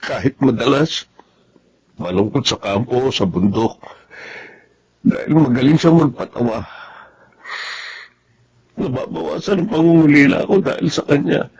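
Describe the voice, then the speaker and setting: A man with a Filipino accent playing a sincere, homesick young soldier and father in a radio drama, with a husky voice and earnest delivery. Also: medium pitch, animated, unhurried, long pauses, darkish tone. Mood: wistful.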